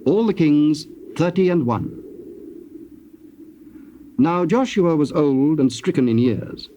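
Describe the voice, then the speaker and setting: A man narrating a dramatized scripture reading from a script in a measured, formal, solemn manner. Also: fairly high pitch, animated, mellow. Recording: muffled old cassette tape, background noise.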